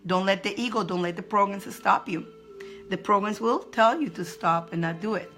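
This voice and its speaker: A woman's voice: mid-range voice, normal speed, a bit bright, faint music behind. A woman hosting a casual live-stream card reading, chatty and upbeat. She greets viewers by name, laughs often and repeats phrases for emphasis.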